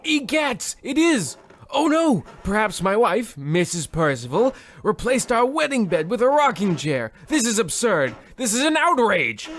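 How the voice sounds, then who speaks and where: A man's voice: high voice, very animated, a little slow, fairly bright tone, a little hiss or hum, exaggerated voice. An actor playing a pompous, overwrought husband half out of his wits, theatrical and florid, in a comedic old-time radio play. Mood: outraged.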